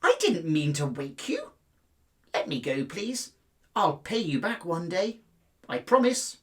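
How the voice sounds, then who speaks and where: A man voicing a tiny, timid but plucky male mouse in a children's fable, squeaky and earnestly polite. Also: huge pitch swings, slow, exaggerated voice. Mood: pleading, frightened.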